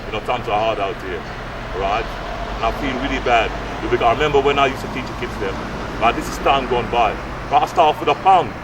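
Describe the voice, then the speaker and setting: A man's voice: mid-range voice, fairly quick. A man, speaking earnestly and repetitively in a casual interview, often tagging phrases with "right?". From Guyana. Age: late 60s. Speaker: youth fitness coach and community mentor. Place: outdoors on an urban street by brick flats. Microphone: handheld microphone held at chest. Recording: distant outdoor mic, clear street noise.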